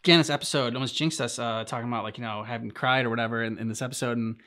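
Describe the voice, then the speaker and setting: A man gives casual reaction commentary in a medium-pitched voice. He rambles and halts, searching for his words as a host chatting with a partner. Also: steady tone, rapid-fire, bright, forceful tone.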